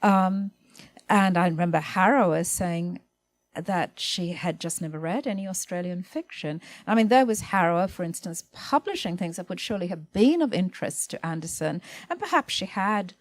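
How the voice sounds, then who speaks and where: A woman with a slightly low voice discusses ideas on a panel. She sounds reflective and informal, with a halting, tentative way of putting her points together. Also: animated. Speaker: panel speaker.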